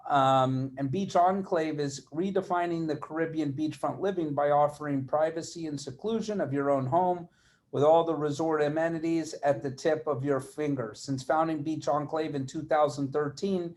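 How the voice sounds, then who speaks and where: A man's voice: fairly high pitch, normal speed, a little mellow. A man hosting an interview, reading an introduction and then asking friendly questions. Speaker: interview host.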